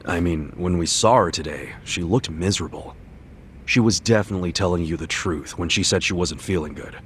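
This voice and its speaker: A man voicing a brash, big-hearted investigator in a video game, blunt and expressive. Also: deep voice, a little fast, a little hiss or hum, lightly acted. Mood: thoughtful.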